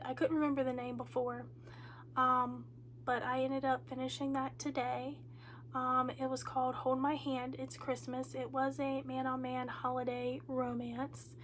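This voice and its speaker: A woman's voice: high voice, slight background noise. A woman with a nasal, congested voice from a cold, sounding tired and drained, chatting casually in a reading update vlog with lots of ums and likes. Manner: rambling with many ums and likes. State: tired and low on energy. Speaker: booktuber.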